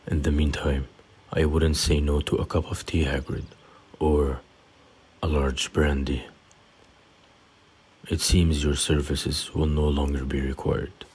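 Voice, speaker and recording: A man playing a calm, sly, courteous elderly wizard headmaster in an audio drama, deep and husky, with unhurried politeness. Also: full character voice. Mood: serene, courteous. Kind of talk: fan audio drama acting.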